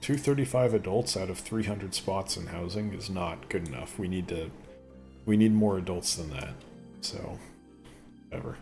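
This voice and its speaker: A man rambling casually while commentating on a gameplay video, with a voice he says sounds deeper since an illness. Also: normal speed, gravelly, a little mellow, faint music behind. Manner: rambling, chatty, thinks aloud. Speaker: gaming video host. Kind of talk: casual let's play commentary.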